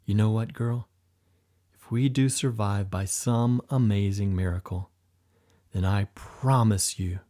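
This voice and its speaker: A man voicing an emotional special agent in an audiobook, tender and frightened by turns, talking higher than his narration. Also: darkish tone, natural voice. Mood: hushed.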